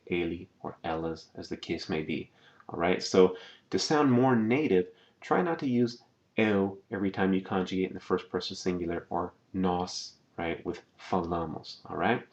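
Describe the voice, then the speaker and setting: Casting: low-voiced male teacher, patient, drawn-out example words, dull lo-fi voice-over. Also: darkish tone. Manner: patient, repeats words slowly and checks in with "okay?". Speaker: language teacher. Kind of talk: slide-based language lesson.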